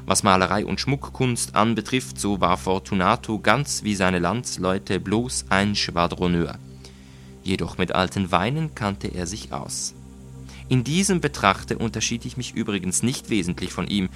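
A man reading a literary first-person story in a measured, deliberate way with dramatic emphasis. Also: sits low, fairly bright tone, faint music behind. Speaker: audiobook reader.

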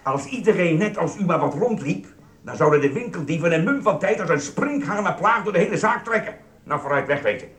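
An actor playing a grumpy, officious supermarket security guard in a radio play, brusque, suspicious and lecturing. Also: male voice, high-pitched, animated, a little fast, fairly bright tone. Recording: roomy echo, music behind.

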